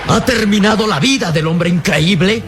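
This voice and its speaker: A man's voice: high voice, normal speed, lightly acted. A man with a Latin American Spanish accent narrates an old radio adventure drama in a solemn, suspenseful manner. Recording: radio drama under loud music.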